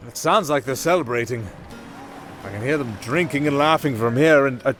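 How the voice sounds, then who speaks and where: A man's voice: medium pitch, moderate pace, fairly bright tone, soft background music, lightly acted. A man playing a roguish, wry musician turned makeshift captain in an ASMR fantasy roleplay, chatting to a companion. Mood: amused. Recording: clear outdoor sea ambience behind.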